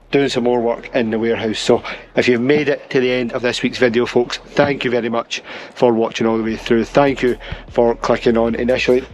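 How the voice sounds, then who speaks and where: Hoarse young man vlogging in a warehouse. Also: mid-range voice, a little hiss or hum. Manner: chatty, upbeat, talks while working. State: hoarse, losing his voice. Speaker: vlog host. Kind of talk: casual vlog chat to camera. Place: large industrial warehouse. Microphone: handheld camera at arm's length.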